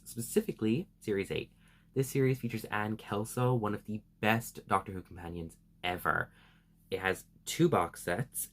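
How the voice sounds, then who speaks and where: A man hosting a semi-scripted explainer vlog, speaking in an earnest, emphatic and enthusiastic way. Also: on the low side. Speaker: video host.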